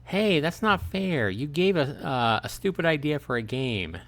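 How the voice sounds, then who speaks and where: A man's voice: fairly high pitch, animated, unhurried, a bit bright, voice pushed up. A man voicing a bored, pushy, irritable young girl from a visual novel as he plays it. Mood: indignant. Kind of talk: playful character reading on a livestream.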